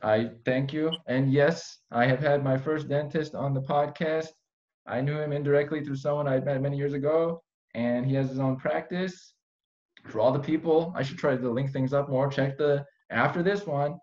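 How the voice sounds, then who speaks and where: Guy hosting a podcast, casual chat, rambles w/ jokey deadpan asides, kinda muffled lo-fi sound. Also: a little high, normal speed. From Iran. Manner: rambling, playful mock-serious asides. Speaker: podcast host. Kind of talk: casual conversational interview. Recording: dull, lo-fi podcast audio.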